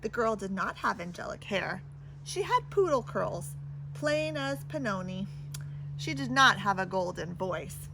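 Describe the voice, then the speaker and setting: A woman's voice: medium pitch, very animated, a little slow, vocal fry, noisy background. A woman reading a children's picture book aloud with theatrical, dramatic emphasis. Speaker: storybook reader.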